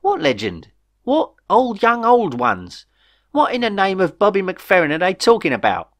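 A man's voice: medium pitch, huge pitch swings, fast, a bit bright, no echo. A man voicing a weary, sarcastic, colloquial reluctant hero in a storybook. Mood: exasperated. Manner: colloquial, exasperated. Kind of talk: storybook character dialogue.

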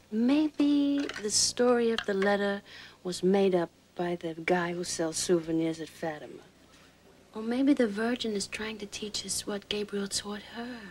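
A woman's voice: medium pitch, close to an everyday voice. Actress as a mother whispering a saint story. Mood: earnest. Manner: hushed, confiding storytelling that drops to a whisper. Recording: film clip with faint background hiss.

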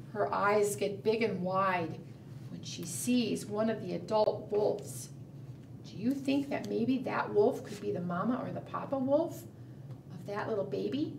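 A middle-aged woman telling a picture-book story to preschoolers in simple, expressive language, often asking the listeners questions. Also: medium pitch, creaky. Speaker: children's librarian and storytime host. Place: indoor room, book shown to camera. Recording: roomy, distant mic, background noise.

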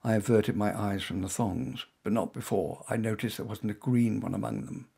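Gravelly, expressive male storyteller. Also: lowish voice, moderate pace. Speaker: author reading his story. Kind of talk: first-person story narration.

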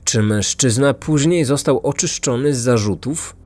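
A man's voice: mid-range voice, moderate pace, darkish tone, faint music behind. A man narrating a scripted true-crime podcast as its host, in a grave storytelling manner.

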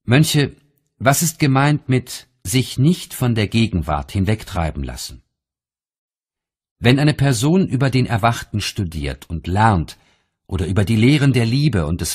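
A man narrating an audiobook of spiritual teachings in a measured, deliberate, solemn reading style. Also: fairly low pitch, darkish tone, breathy edge.

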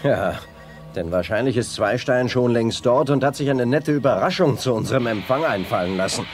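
An actor playing a resolute, earnest young space hero in a sci-fi audio drama. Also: male voice, mid-range voice, expressive, normal speed, soft background music, natural voice. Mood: determined. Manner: decisive, earnest.

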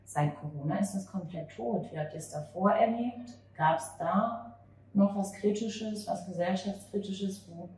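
Female interviewer, thirties, halting and reflective, heard from off-mic with some background noise. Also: mid-range voice, darkish tone. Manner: halting, searching for words. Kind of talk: conversational interview with long reflective questions. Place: small cafe or bar table at night. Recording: very echoey, distant, noisy room ambience.

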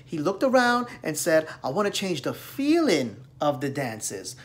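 A man's voice: on the high side, huge pitch swings, measured pace. An American man in his thirties, ranting and lecturing straight to a phone camera in a small room. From United States. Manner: emphatic, lecturing, direct address. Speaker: dance vlogger. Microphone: phone camera at arm's length.

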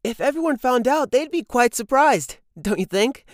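A woman playing an excitable, gossipy teenage schoolboy in a manga dub. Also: high-pitched, normal speed, no echo, studio quality. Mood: teasing, curious.

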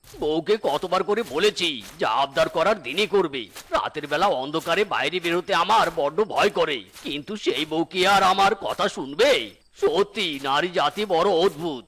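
Sharp-voiced timid tiger uncle played by a man, slightly high, grumbling, coaxing, scheming, cartoon dialogue. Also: moderate pace. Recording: faint music behind the voice.

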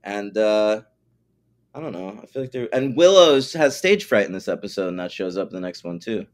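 A man's voice: on the low side, animated, moderate pace. A man co-hosting a podcast, bantering informally and conversationally about TV episodes. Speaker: podcast co-host.

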